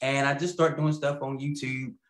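A man's voice: medium pitch, level tone, moderate pace. A man being interviewed over a video call about his puppets, rambling and stopping to apologize, laughing easily. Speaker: puppeteer guest. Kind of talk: casual interview chat. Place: home room with a lamp and plant. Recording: echoey room on a video call.

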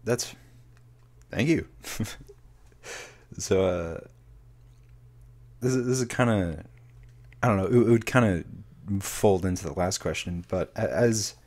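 A man hosting a casual podcast interview, asking questions in a halting way while he searches for words. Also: lowish voice, darkish tone. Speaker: podcast host.

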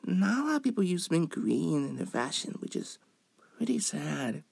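A man's voice: fairly high pitch, very animated, moderate pace, vocal fry, darkish tone, slightly rough, no echo, exaggerated voice. A young man playing a cute, shy, playful femboy in an ASMR roleplay, coy and hesitant, drawing out his words. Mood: soft, pleased.

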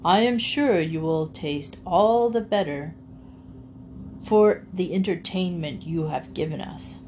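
Woman voicing a slow, pompous ancient bull alligator. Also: fairly low pitch, a little mellow, a little hiss or hum. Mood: smugly polite. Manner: drawling, grandly polite. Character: ancient male alligator, pompous, calm, slyly menacing.

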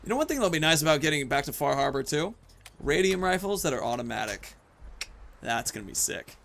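A man's voice: a little high, big pitch swings, brisk, creaky, a bit bright, a little hiss or hum. A man in his thirties giving casual, rambling commentary as he plays a video game, often thinking aloud and muttering asides. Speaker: video game streamer and host.

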